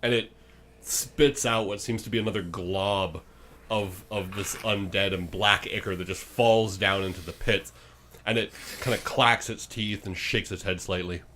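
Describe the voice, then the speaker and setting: A low-pitched man acts as game master on a tabletop role-playing show. He improvises descriptive narration with a dramatic, halting delivery. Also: fairly even intonation, sharp tone.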